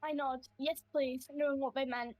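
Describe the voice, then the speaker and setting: A woman improvising first-person roleplay for a game, haltingly narrating her own character's actions. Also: sits high, fairly even intonation, a little mellow, dry recording.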